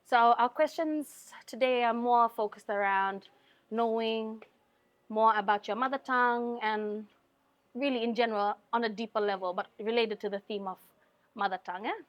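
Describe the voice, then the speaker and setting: A woman from Fiji with a Fijian English accent, hosting a casual interview with a band and asking questions with soft fillers. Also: fairly high pitch, steady tone, moderate pace, no room sound, highs cut off. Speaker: podcast host.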